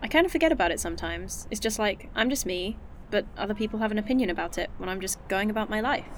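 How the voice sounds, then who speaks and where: A woman's voice: mid-range voice, animated, quick pace, natural voice. Woman voicing an earnest young scientist, candid, faint hiss, audio drama.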